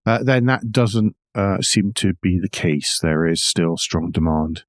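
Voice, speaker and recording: Male podcast host, low pitch, measured explanatory commentary, wry asides, very dry recording. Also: no room sound. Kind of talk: news discussion with commentary.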